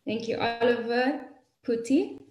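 A woman's voice: on the high side, darkish tone, a little hiss or hum. A young woman moderating a panel on a video call, politely handing the word to each speaker. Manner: polite, brisk hand-offs. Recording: echoey room on a video call.

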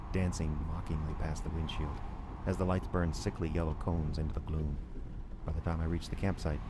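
An actor playing a reflective storyteller, giving scripted first-person narration for a horror drama. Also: male voice, extremely low pitch, heavy background noise. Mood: ominous, tense. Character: reflective male storyteller, repairman recalling eerie past. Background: music.

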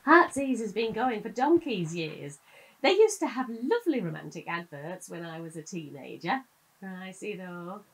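Chatty british wife in a radio play, middle aged, really animated pitch. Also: female voice, normal speed, slightly rough, low-quality recording. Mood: teasing. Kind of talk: naturalistic audio drama dialogue.

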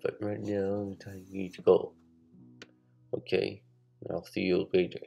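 A young man casually hosting a show to camera in a halting, mumbled way from a bedroom. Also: low voice, level tone, gravelly, music in the background. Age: young adult. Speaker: host. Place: small bedroom, indoors.

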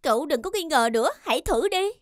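A woman voicing a feisty, excitable teenage girl in a cartoon, eager and a little pushy. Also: high-pitched, quick pace, dry recording, clean studio sound. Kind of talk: Vietnamese cartoon dub acting.

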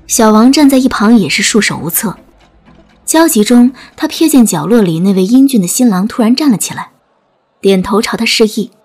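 A woman's voice: on the high side, lively intonation, normal speed, a little mellow, husky. A woman narrating a scripted dramatic audiobook story. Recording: music bed under narration.

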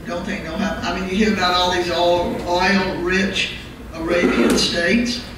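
A woman's voice: on the low side, fairly even intonation, a little slow. An older woman from Southern California asking a question from the floor, chatty, laughing and repeating her questions. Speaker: audience questioner. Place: large hall with audience. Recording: echoey hall from far off, crowd noise.

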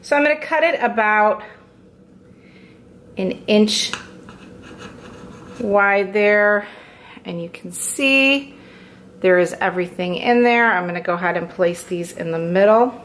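Casting: relaxed thirties mom, mid-pitched, homey kitchen demo, faint ambient hiss. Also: female voice, takes it slowly, long pauses. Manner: chatty, relaxed, fillers like "go ahead" and "like". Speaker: home cook, meal prep channel host. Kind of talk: casual cooking demonstration voice-over.

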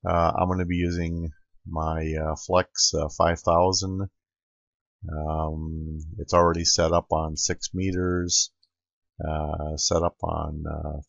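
A man giving an unscripted screen-recording tutorial as an amateur radio operator, explaining step by step in a halting way. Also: a bass voice, vocal fry, no echo. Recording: dull, lo-fi home computer recording.

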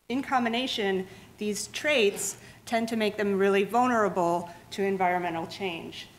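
A woman's voice: mid-range voice, a little hiss or hum. A woman giving a public science lecture in a lecture room, explaining steadily and restarting words often. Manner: steady explanatory pace with frequent restarts and fillers. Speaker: museum lecturer.

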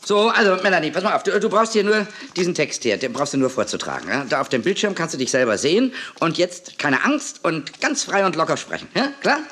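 An actor playing a fussy, flustered TV studio director in a 1980s German children's audio drama, stammering and repeating himself. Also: male voice, on the high side, big pitch swings, a little fast, hardly any pauses, fairly bright tone, slight background noise. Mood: coaxing.

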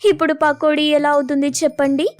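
A woman's voice: high-pitched, flat delivery, fairly quick, soft background music, close to an everyday voice. A woman voicing a reluctant, whiny, evasive wife sparrow in a children's cartoon. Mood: stubborn, put-upon. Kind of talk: children's cartoon story dialogue.

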